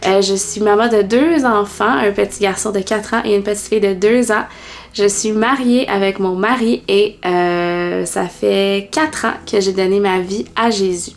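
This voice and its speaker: A woman in her twenties with a Québécois accent, telling her personal faith story in a vlog with many pauses and fillers. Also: mid-range voice, steady tone. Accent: Québécois French. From Quebec, Canada. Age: 20s.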